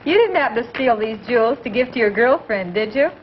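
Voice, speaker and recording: An actress playing a seductive, scheming gangster's companion in a coaxing, melodramatic 1950s television comedy manner. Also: female voice, on the high side, animated, brisk, close to an everyday voice. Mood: probing, calm. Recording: dull, noisy old soundtrack, audience behind.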